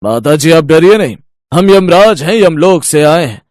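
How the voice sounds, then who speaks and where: A man's voice: on the high side, expressive, normal speed, no echo, studio quality, close to an everyday voice. A man voicing a stern, formal god of death who gives patient but insistent commands in a moral story. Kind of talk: animated Hindi moral-story voice acting.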